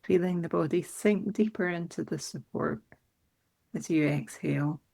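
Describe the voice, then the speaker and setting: A woman leading a guided meditation in a soothing, unhurried manner with gentle instructions. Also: fairly low pitch, gravelly, slightly rough.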